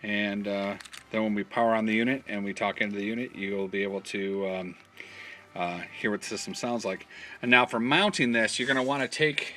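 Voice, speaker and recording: A man giving an informal, step-by-step product demonstration, explaining in a halting way as he goes. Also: lowish voice, steady tone, bright, forceful tone, slight background noise. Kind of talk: hands-on instructional demonstration.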